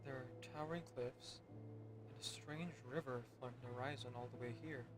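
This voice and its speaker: Man streaming over loud game music. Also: mid-range voice, steady tone, fairly quick, poor audio. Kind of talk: reading and commenting on a game playthrough.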